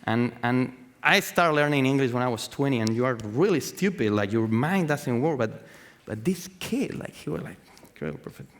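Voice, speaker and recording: A man with a Spanish accent gives an informal talk as an artist, halting and searching for words in English and swearing freely. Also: mid-range voice, expressive. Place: on stage in a large hall. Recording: echoey hall with long reverb.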